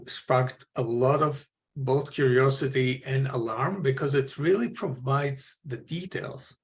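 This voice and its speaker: Gravelly-voiced man introducing a speaker. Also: mid-range voice. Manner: earnest, with frequent fillers and restarts. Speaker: forum moderator. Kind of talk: moderator's introduction.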